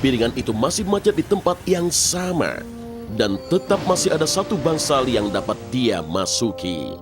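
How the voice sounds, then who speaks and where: A man's voice: mid-range voice, very animated, normal speed. A man narrating a scripted children's story. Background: music.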